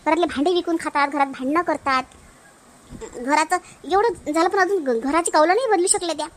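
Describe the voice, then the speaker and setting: Casting: aggrieved young wife acted by a woman, extremely high voice, Varhadi Marathi accent, lamenting and nagging, cartoon comedy. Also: brisk. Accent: Varhadi Marathi from Maharashtra. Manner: complaining, lamenting. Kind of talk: hobby cartoon comedy dialogue.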